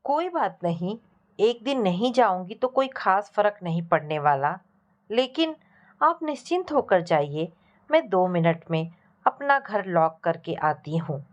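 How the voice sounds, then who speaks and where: A woman's voice: medium pitch, big pitch swings, unhurried. A woman narrator voicing a helpful, playfully blunt young college woman in a family story. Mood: reassuring.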